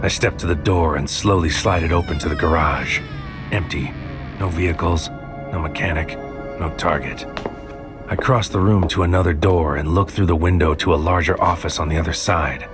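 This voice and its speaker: Man acting as a deep-voiced, brooding sci-fi infiltrator. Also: steady tone, close to an everyday voice. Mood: tense, wary. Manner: deliberate, terse, brooding, poetic phrasing. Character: brooding, anxious male infiltrator narrating his surreal mind mission. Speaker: narrator and lead character. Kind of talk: scripted first-person noir sci-fi audio drama narration. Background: music under the voice.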